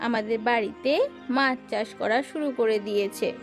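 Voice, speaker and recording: Woman playing a sly, high-voiced scheming daughter-in-law. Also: fairly even intonation, normal speed, soft background music, natural voice. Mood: sly, persuasive. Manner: conniving, self-assured. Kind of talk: cartoon moral-tale voice acting.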